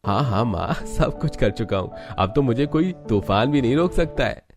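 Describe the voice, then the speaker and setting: A man's voice: medium pitch, lively intonation, normal speed, darkish tone, faint music behind, close to an everyday voice. A man voicing a boastful, idle young husband in a cartoon story, brash, self-assured and dismissive. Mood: cocky.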